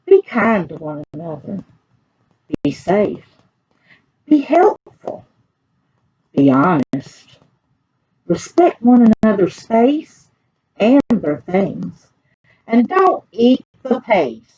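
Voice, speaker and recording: A woman with a Southern US accent and a husky voice reads aloud to young children as a librarian, expressive and folksy, speaking to them directly. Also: medium pitch, slow pace, long pauses, muffled recording. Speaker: children's librarian reading picture books aloud.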